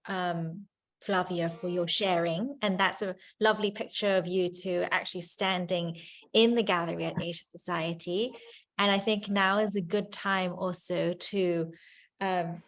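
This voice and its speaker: A woman moderating an online artist conversation and interpreting for the speakers, fluent and measured with frequent ums. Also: medium pitch. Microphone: video call.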